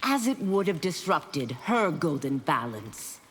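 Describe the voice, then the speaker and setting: An actress playing an earnest, determined penitent seeking redemption in a video game, grave and searching. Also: female voice, sits low, very animated, measured pace, in a large hall, very noisy. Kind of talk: video game character dialogue.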